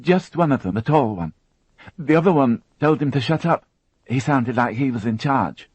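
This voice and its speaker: A man voicing a frightened young woman who witnessed a crime, tearful and halting, repeating her words as she talks. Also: mid-range voice, expressive, a little mellow, husky, no echo, pitched above the performer's own voice. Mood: shaken.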